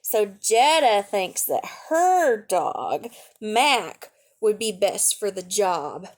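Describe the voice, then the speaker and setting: A woman reading aloud to children as a storytime teacher, gently explaining and asking questions along the way. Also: mid-range voice, big pitch swings, drawn-out words.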